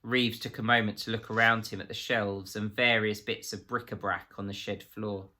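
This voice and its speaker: A male story reader with a fairly low voice and a bright, forceful edge. He narrates a children's novel expressively, in scripted storytelling style. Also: flat delivery, normal speed.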